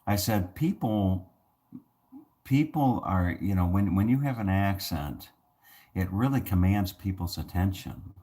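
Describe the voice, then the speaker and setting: A man's voice: low voice, animated, unhurried, warm tone. A man hosting his own channel, talking casually to the camera with a soothing voice, rambling through many restarts and fillers. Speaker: channel host.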